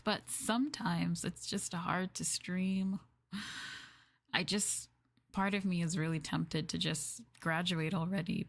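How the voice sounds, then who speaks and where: A woman livestreamer chatting casually with viewers in a gentle, hushed, soft-spoken ASMR style, close to the microphone. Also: on the low side, no room sound. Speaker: VTuber livestreamer.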